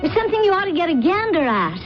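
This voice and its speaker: A spirited high-voiced American daughter. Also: female voice, very animated, fast talker, over music. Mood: teasing. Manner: playful, slangy. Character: breezy teasing young American daughter, spirited boat mate. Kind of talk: radio drama acting. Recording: muffled old radio broadcast.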